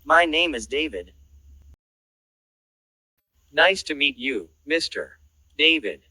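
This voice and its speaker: Slow, very bright husky male voice. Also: on the high side, lively intonation, dry recording, low-quality recording. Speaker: dialogue reader in an English lesson. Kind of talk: scripted practice dialogue.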